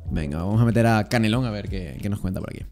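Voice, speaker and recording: Young male stream host, quick and teasing, music in the background. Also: on the low side, dark tone. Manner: teasing, chatty commentary. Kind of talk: livestream dating show hosting. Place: streaming room. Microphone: studio mic on arm.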